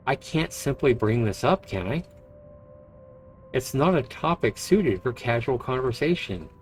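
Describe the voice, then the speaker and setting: An actor playing a brooding, guilt-ridden but dryly charming man in a visual novel, measured and reflective. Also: mid-range voice, lightly acted. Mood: wistful. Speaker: narrating protagonist. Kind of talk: visual novel voice acting, introspective narration and dialogue. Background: music.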